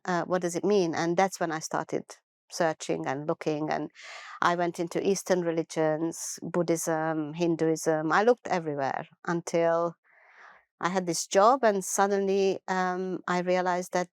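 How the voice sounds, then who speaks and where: A middle-aged woman with a Hungarian accent speaks in an earnest, measured way, pausing to gather her thoughts. Her voice sounds smooth and clear, pitched slightly low, in a dry, close-miked sound. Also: level tone, a little mellow, dry recording. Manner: earnest, unhurried storytelling with thoughtful pauses and restarts. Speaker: guest. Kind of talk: personal life story in an interview.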